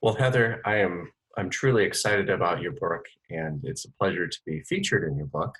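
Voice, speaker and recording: A man, a lettering artist, talks about his work in a measured, articulate way with occasional fillers. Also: low-pitched, expressive, fairly bright tone, slightly rough, low-quality recording. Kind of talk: casual interview answers.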